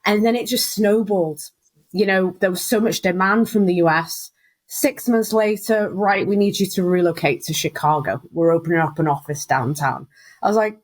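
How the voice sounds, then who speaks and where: Woman in her 30s, chatty storyteller on a podcast, middle pitch, laughs a lot. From Wales. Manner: chatty storyteller, acts out quoted voices, laughs often. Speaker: guest, recruitment business founder. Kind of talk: casual interview chat.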